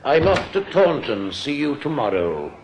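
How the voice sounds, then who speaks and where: A breezy, bantering English bank manager in a radio play. His medium-pitched voice swings widely as he talks. He sounds bluff, glib and slightly evasive. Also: very animated, normal speed, vocal fry, poor audio. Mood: brisk, teasing. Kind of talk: British radio drama acting.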